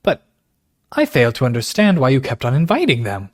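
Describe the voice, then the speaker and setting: A man's voice: medium pitch, huge pitch swings, a little fast. A man voicing an indignant young man who speaks angrily and accusingly. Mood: angry. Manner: angry, accusing.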